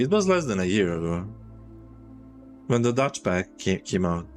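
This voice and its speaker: A man from Serbia, chatting casually while streaming a city-building game and thinking aloud with restarts. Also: lowish voice. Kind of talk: relaxed game livestream chat. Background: soft music.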